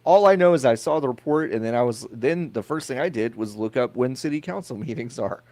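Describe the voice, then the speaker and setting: A man's voice: medium pitch, expressive, fairly quick, a little mellow, no room sound. Joking male podcast guest, dry close sound. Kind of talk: casual chat.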